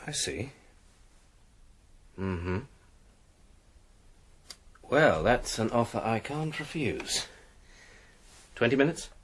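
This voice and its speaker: An actor playing a guarded man on a late-night telephone call, halting and understated, in a British radio drama. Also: mid-range voice, very animated, slight background noise.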